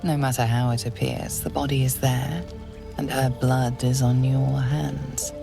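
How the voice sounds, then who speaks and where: A woman playing an ominous, all-knowing narrator of a dark fantasy game, grave and theatrical. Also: very deep voice, level tone, measured pace, creaky, over music, heavily acted. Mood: grim, ominous. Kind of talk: second-person scripted game narration.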